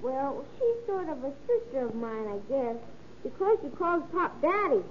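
A woman's voice: high voice, big pitch swings, normal speed. A woman playing a cheeky, eager little American boy who talks in pestering slang in an old-time radio drama. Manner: pestering, slangy childlike talk. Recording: muffled, noisy old radio recording.

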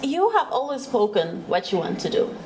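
A woman interviewer with a lively, varied pitch asks questions and encourages her guest with short affirmations. Faint noise sits behind her voice. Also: moderate pace, gravelly. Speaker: interview host. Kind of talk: interview with brief backchannel.

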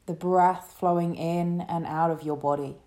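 A woman leading a guided relaxation meditation as a yoga teacher, speaking in a soothing, gentle way. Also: on the low side, steady tone. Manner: soothing, gentle, unhurried instruction.

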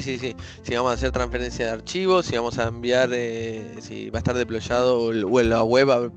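A man talks through tech topics in a relaxed, easygoing way. He stops often for fillers and restarts. His pitch sits mid-range, and faint music plays behind him. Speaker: co-host. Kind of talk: casual tech chat.

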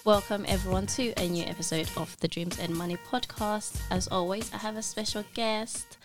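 A woman's voice: medium pitch, fairly even intonation, normal speed, music in the background. A young adult woman hosting a podcast, chatting enthusiastically with her guest and leaning on "like" as she talks. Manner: enthusiastic, fills with "like". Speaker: podcast host. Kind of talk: casual interview chat.

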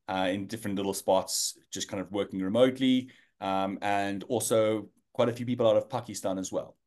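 A man's voice: on the low side, a little mellow. A man in his thirties with a South African accent, a guest in an interview who talks casually with many fillers and restarts.

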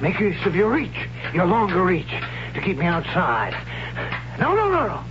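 An actor playing an older warrior hero who teaches swordplay in a gruff, blunt manner. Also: male voice, high-pitched, wildly swooping pitch, normal speed, music in the background. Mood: instructing. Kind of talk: 1970s radio drama acting. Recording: dull old radio broadcast.

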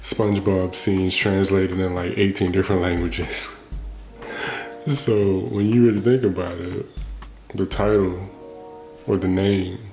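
A man's voice: deep voice, fairly even intonation, measured pace, long pauses, darkish tone, reverberant, faint music behind. A man hosting a solo podcast, musing casually and restarting his thoughts as he goes. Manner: casual, thinking aloud with frequent restarts. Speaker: podcast host. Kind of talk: reflective solo monologue.

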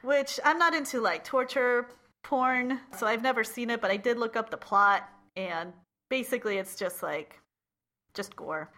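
A woman's voice: on the high side, normal speed, pushed and bright. A woman co-hosting a casual chat podcast, chatty and laughing often, with lots of "like" fillers. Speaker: podcast co-host.

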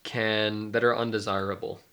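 A man's voice: on the low side, flat delivery, normal speed, sharp tone, smooth. A young man co-hosting a casual talk podcast, congested and a little nasal from a cold. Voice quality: nasal, stuffy. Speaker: podcast co-host, mindfulness life coach. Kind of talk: casual chat.

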